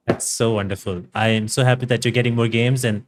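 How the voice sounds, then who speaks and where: A man's voice: medium pitch, steady tone, a little fast. A man hosting a podcast interview, speaking in an enthusiastic, upbeat way. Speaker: podcast host. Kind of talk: interview and show plug.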